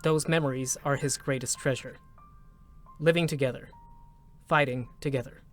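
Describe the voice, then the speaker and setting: A man narrating a scripted drama in a measured, solemn manner, with short, deliberate phrases. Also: medium pitch, steady tone. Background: music.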